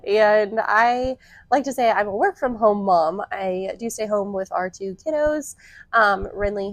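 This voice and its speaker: A woman's voice: mid-range voice, gravelly, no echo. A woman around thirty co-hosting a podcast, chatting casually and upbeat with frequent laughs and fillers. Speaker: podcast co-host. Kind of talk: casual conversational podcast chat.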